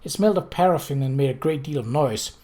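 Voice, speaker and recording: A reader playing a quiet, frank young woman. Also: mid-range voice, lively intonation, fast, close to an everyday voice.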